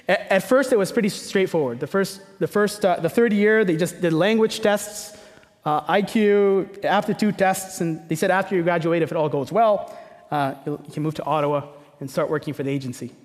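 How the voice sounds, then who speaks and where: High-voiced male preacher in a reverberant hall. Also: brisk. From Egypt. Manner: conversational, emphatic repetition and rhetorical questions. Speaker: pastor preaching. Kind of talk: sermon.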